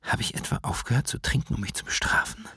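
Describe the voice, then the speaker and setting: An actor playing a brooding, bitter writer and recovering alcoholic in a German radio play, speaking in an introspective, confessional way with a gravelly, often hushed voice. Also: male voice, fast, no room sound, in a whisper, studio quality, faint music behind, natural voice.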